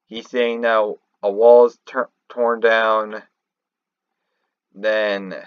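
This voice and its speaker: Slow, halting man, dull lo-fi mic. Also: on the low side, little pitch movement, clean tone, no room sound. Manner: halting, rambling, often restarting mid-thought. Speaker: video game lore commentator. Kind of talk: unscripted theorizing commentary over a screen recording.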